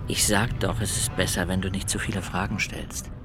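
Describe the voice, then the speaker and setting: An actor as a secretive informant in a radio crime play. He speaks in a fairly low voice, calmly feeling out the other person while giving little away. Music is clearly audible underneath. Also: normal speed, lightly acted. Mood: cool, persuasive. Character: smooth-talking, secretive undercover informant, a man. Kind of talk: naturalistic radio crime drama acting. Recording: music behind the voice.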